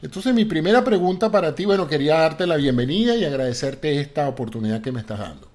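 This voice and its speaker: Male interviewer, higher-than-average pitch, formal and deliberate, occasionally halting. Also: lively intonation, a little mellow. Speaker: interview show host. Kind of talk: interview with long spoken introduction.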